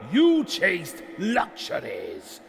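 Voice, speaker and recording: A man playing a gravelly scolding preacher. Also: high voice, huge pitch swings, drawn-out words, exaggerated voice. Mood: scornful. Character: stern male preacher scolding his flock. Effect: crackling loudspeaker. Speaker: pastor. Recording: echoey hall reverb.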